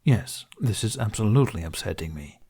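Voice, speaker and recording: A man's voice: on the low side, expressive, brisk, gravelly, mellow, husky, full character voice. A man voicing a proud, protective, dryly sarcastic dragon in an audiobook, speaking in blunt, formal grumbles. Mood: disgusted. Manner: grumbling, declarative, formal phrasing.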